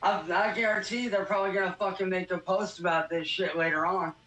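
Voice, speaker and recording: A man's voice: high voice, fairly even intonation, a little fast, sharp tone. A man venting angrily and swearing in a casual video. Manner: angry, profane venting. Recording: echoey room.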